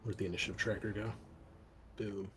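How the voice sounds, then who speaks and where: A man's voice: on the low side, steady tone, a little fast, a bit bright. A man chatting casually as a player in a tabletop game, with a jokey, wry manner. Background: game music.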